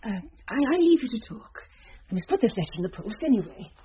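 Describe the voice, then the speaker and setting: A woman's voice: a little high, sing-song, fairly quick, slightly rough, heavily acted. An actress with a British accent playing a worried middle-aged mother in a radio drama, confiding and hesitant. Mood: uneasy. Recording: muffled, noisy old radio recording.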